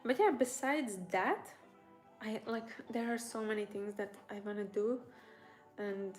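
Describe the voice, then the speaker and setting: A woman's voice: medium pitch, steady tone, unhurried, darkish tone, faint music behind. Rambling woman. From Latvia. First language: Latvian. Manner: rambling, hesitant, trailing off mid-thought. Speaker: crochet tutorial creator, livestream host. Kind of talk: casual livestream chat.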